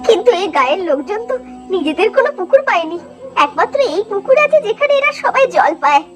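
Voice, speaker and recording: A woman's voice: very high voice, big pitch swings, fast, soft background music. A woman voicing a caring, distressed old family nurse in a Bengali horror cartoon, plaintive and emotional.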